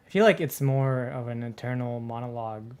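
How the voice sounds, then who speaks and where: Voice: medium pitch, brisk, a little mellow. A person casually commenting on a game while playing it, musing and reacting in an offhand way. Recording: faint background noise.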